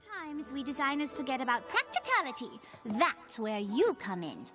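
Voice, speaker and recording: Very high, gushing cartoon pony lady. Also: big pitch swings, moderate pace, fairly bright tone. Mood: gracious. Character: refined, gushing female cartoon pony dressmaker. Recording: cartoon clip with music underneath. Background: children's cartoon music.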